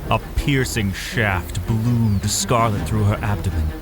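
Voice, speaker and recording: A man narrating a dramatic story in a solemn, theatrical, deliberate way. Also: lowish voice. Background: music.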